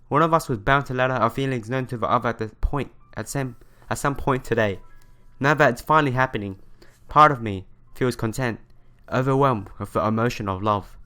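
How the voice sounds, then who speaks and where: A man hosting a let's play, reading visual novel narration aloud in an expressive storytelling manner. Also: medium pitch, clear, resonant tone. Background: background music.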